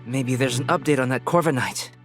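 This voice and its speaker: A man's voice: mid-range voice, fairly quick, soft background music, close to an everyday voice. A man playing an earnest young detective in a video game, casual and a little dry in manner. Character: earnest young male detective searching for his missing father. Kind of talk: video game cutscene acting.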